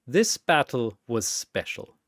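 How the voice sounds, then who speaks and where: A man's voice: medium pitch, very animated, slow, dry recording, studio quality. A man narrating a scripted fantasy story dramatically, in theatrical, punchy phrases.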